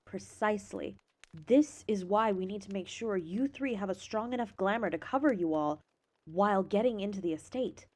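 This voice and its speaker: A composed noblewoman councillor, voiced by a woman, lays out plans in a calm, mid-pitched voice. She speaks plainly and with measured care, like a strategist in an audio drama. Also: close to an everyday voice. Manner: measured, matter-of-fact.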